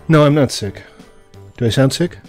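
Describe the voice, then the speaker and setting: A man's voice: mid-range voice, normal speed, soft background music. A man streaming a game, a little stuffy in the nose, chatting easily with friends and thinking aloud as he plays. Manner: easygoing, thinking aloud with fillers. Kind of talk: casual gameplay chat.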